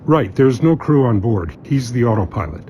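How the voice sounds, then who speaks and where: A man's voice: mid-range voice, expressive, normal speed, dull audio, a little hiss or hum, natural voice. A man playing a literal, unflappable android in a radio drama, formal and matter-of-fact. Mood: deadpan.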